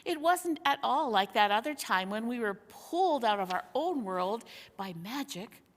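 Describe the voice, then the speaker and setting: Woman reading as excited schoolboy adventurer, swooping pitch. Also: unhurried. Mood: excited wonder. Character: young Edmund, excited, wondering schoolboy adventurer. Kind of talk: storybook character dialogue. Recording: hall-like long reverb.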